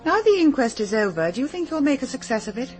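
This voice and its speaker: An actress playing a cool, haughty English secretary in a radio drama, speaking formally and with composure. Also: female voice, sits high, big pitch swings, quick pace, a little mellow, soft background music. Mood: cool, probing. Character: cool, brisk, haughty female secretary to a powerful man. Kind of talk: British radio drama acting.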